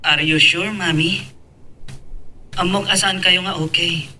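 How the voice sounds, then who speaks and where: A man's voice: high-pitched, fairly even intonation, a little slow, pushed and bright, full character voice. A man playing an earnest, devoted son who resents his father, in an Ilocano audio drama. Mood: reassuring. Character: earnest son Robin, supportive to mother, bitter at father. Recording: distant mic with faint background noise.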